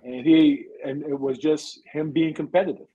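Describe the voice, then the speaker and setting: A man's voice: a little high, steady tone, moderate pace, warm tone, breathy edge. A man who coaches football, giving conversational advice in an explanatory way, checking each point with "right" and "you know". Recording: dull, lo-fi sound.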